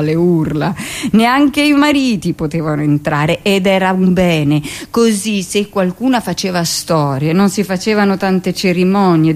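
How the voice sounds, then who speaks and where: A woman's voice: fairly low pitch, a little mellow. Woman acting out a pompous, paternal old male doctor. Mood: nostalgic, callous. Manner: drawn-out, insistent, lecturing. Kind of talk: theatrical radio monologue.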